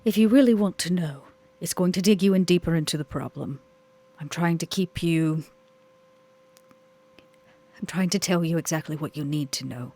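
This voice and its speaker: A woman playing a composed, persuasive female vampire club owner in a tabletop game, earnest and measured, with a breathy, husky voice. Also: lowish voice, mellow. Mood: firm.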